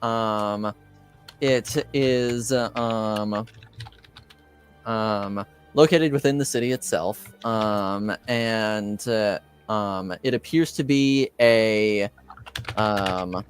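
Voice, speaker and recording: A man acting as game master, narrating a tabletop roleplaying game in a halting, deliberate way. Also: mid-range voice, faint music behind.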